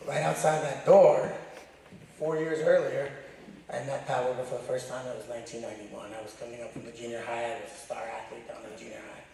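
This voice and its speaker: A middle-aged man, a high school wrestling coach, giving an informal, emphatic memorial tribute full of stories in a loud voice. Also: flat delivery, a little fast, gravelly. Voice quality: loud, booming. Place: large echoey room at a high school. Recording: very echoey hall, distant, faint noise.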